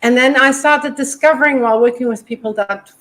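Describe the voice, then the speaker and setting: A woman's voice: high-pitched, brisk, a bit bright. Hesitant woman health coach. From Germany. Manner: hesitant, many ums, self-correcting. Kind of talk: video call interview. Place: home kitchen. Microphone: video call.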